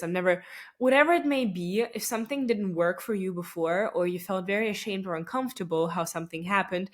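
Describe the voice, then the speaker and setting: A young woman whose first language is Russian, talking through her thoughts on her own podcast in a loose, chatty way with many fillers, restarts and small laughs. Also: on the low side. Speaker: podcast host. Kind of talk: casual solo podcast reflection.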